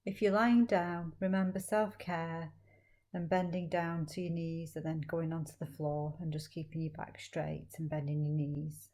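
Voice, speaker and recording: A woman leading a guided meditation as a teacher, speaking slowly and gently with long, soothing pauses. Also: sits low, level tone.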